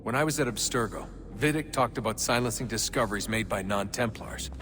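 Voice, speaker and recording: An actor playing an easygoing young modern-day hero in a video game, plain-spoken and quietly challenging. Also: male voice, mid-range voice, brisk, background noise, lightly acted. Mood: calm, questioning. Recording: long hall reverb, music behind.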